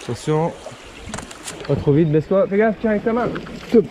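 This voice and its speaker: Man on a kayak trip, high voice, lots of exclaiming and cursing, action-cam sound with heavy river noise. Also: moderate pace. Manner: excited, swearing, exclaiming. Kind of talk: casual outdoor vlog chat. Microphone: action camera on the boat. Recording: loud river and wind noise.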